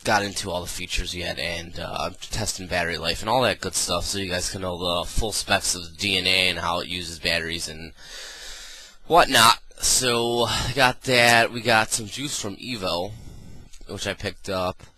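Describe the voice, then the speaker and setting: A man's voice: deep voice, moderate pace, pushed and bright, no echo, low-quality recording. A man reviewing a gadget in a casual, rambling way, full of ums and uhs, sounding nasal from a cold. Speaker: product reviewer.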